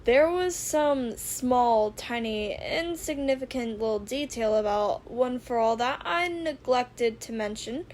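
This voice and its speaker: A woman reads as a veteran male hero and mentor in an amateur audio story. He sounds formal, deliberate and a little sheepish, earnest in his apologies. Faint noise sits on the recording. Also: fairly high pitch, lively intonation, darkish tone.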